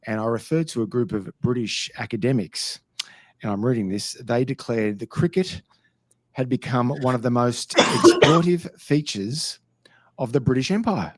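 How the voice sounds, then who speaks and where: Male academic speaker, ironic and dry, mid-pitched, dry close sound. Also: animated, normal speed, dry recording. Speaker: guest lecturer answering questions. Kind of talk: lecture Q&A.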